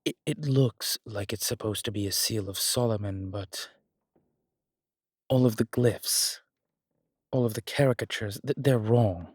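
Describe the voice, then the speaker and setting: A man playing a strong, scholarly demon in a horror roleplay audio, explaining things in a deliberate, halting, pedantic way. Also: lowish voice, a little mellow, breathy edge, dry recording, clean studio sound. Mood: scholarly, puzzled. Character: strong, scholarly male demon, exasperated occult lecturer. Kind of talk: immersive one-sided roleplay audio drama.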